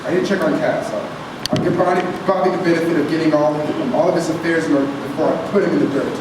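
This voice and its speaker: Man acting a menacing teen bully, swaggering, high-pitched, distant noisy room. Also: steady tone, quick pace, natural voice. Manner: swaggering, taunting. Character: cocky, menacing teenage male bully and gang leader. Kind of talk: staged script reading. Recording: noisy, echoey room from far off.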